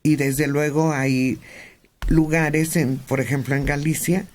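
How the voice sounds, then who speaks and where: A Mexican man speaking on a radio panel, explaining points in a measured way. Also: on the high side, fairly even intonation. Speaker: radio host.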